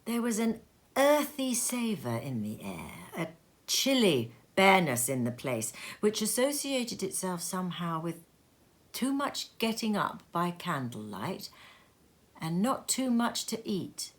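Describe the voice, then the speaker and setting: A woman narrating a classic story aloud in an expressive, dramatic storybook style with deliberate phrasing. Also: lowish voice. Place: sitting room at home.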